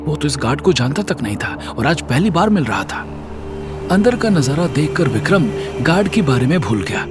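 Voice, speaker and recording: A man's voice: on the high side, sing-song, moderate pace. A man narrating a scripted audio drama series in a dramatic storytelling style. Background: music.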